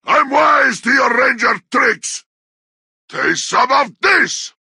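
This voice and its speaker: A man playing a brutish, bloodthirsty orc warrior in a video game, snarling crude taunts in a harsh, raspy growl. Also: very high-pitched, expressive, takes it slowly, sharp tone, dry recording, raised voice, studio quality, exaggerated voice. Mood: taunting, aggressive. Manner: snarling battle barks in crude, broken grammar. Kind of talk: video game combat barks.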